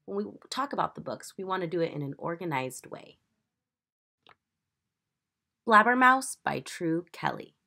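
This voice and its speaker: A woman with a fairly low, bright voice reads to children as their teacher. Her delivery is animated and swings widely in pitch. She explains and narrates with clear emphasis. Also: measured pace, pauses often. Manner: animated, instructive, emphatic storytelling. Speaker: teacher reading a picture book aloud. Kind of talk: expressive children's read-aloud narration.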